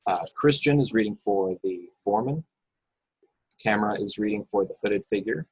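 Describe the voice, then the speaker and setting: A man's voice: fairly low pitch, normal speed, darkish tone, slightly rough, no echo, low-quality recording. A man reading scripted action descriptions as narrator in an informal, unpolished way on an amateur online table read. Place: video call from a room.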